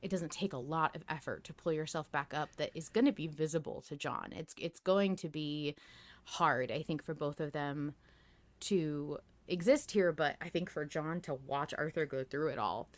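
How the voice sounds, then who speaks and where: Woman podcast host, kinda low voice, casual and sweary, argues playfully w lots of emphasis. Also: normal speed. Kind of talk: casual fan discussion chat.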